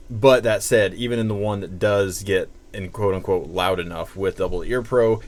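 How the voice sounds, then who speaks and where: A man with an American accent giving a casual, unscripted product review in a rambling, conversational way full of asides. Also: sits low. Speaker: gear reviewer presenting a product.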